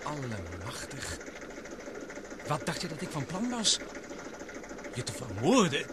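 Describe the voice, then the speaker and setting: A male actor playing a brusque, wary rival on an expedition in a Dutch radio play, terse and halting when uneasy. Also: fairly high pitch, huge pitch swings, background noise, full character voice.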